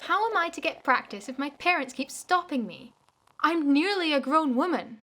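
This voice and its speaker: A woman's voice: high voice, very animated, moderate pace, a bit bright. A woman playing an inquisitive, cheeky young daughter who is nearly grown, in a radio play. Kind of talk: amateur radio play acting.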